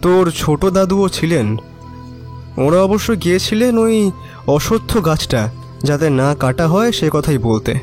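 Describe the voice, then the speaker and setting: A man's voice: a little high, expressive, a little slow, darkish tone, soft background music. An actor playing a cynical, resigned young neighbourhood man, talking colloquially and wryly in an audio drama. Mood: resigned, gossipy. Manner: colloquial, emphatic repetition, wry. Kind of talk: Bengali audio drama acting.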